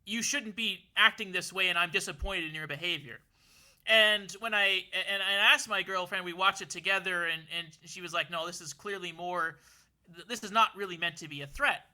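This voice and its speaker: A young man holds forth in an unusually high, sharply bright voice. He talks earnestly, stumbling over repeated words as he builds his point, and his voice sounds a touch worn. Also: normal speed, poor audio. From Canada. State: losing his voice. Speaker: podcast co-host. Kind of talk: casual political discussion.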